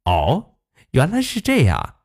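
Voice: medium pitch, huge pitch swings, slow pace, a little mellow, breathy edge, heavily acted. A storyteller voicing a kind, brave little pig for a children's fairy tale. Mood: polite, eager.

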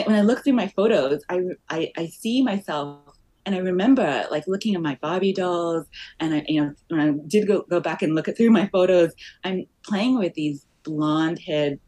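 Low-pitched woman with a muddled Australian accent. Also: darkish tone. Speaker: podcast guest, company founder. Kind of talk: personal, reflective interview talk.

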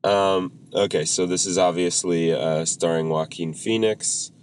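A man with a very deep voice, talking through a cold. He co-hosts a casual podcast chat with lots of ums and restarts, and a faint hiss sits under the recording. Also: fairly even intonation, unhurried, darkish tone. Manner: hesitant, many ums and restarts. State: has a cold, voice a bit off. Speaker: podcast co-host.

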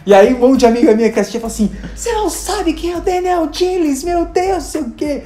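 A man's voice: very high-pitched, lively intonation, normal speed, mellow, reverberant. A Brazilian man, a video creator and hospital clown, telling stories in a casual chat with many fillers and acted-out quotes. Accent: Brazilian Portuguese. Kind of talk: casual conversational storytelling.

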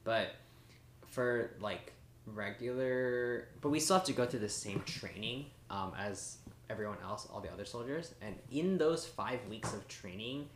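A man's voice: medium pitch, expressive, measured pace. Young guy on a podcast, chill storytelling, mid-range voice, echoey room w faint hiss. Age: young adult. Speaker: podcast co-host. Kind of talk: casual podcast chat.

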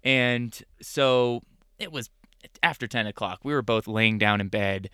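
A man co-hosting a casual podcast, joking and laughing easily. His voice is bright and crisp, with a dry, close sound. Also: mid-range voice, unhurried, no room sound. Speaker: podcast co-host. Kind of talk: casual chat.

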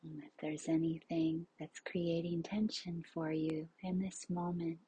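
A woman leading a guided relaxation as a yoga nidra instructor, soothing and unhurried, in a hushed, breathy voice that is often near a whisper. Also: sits low, little pitch movement. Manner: soothing, unhurried, spacious pauses.

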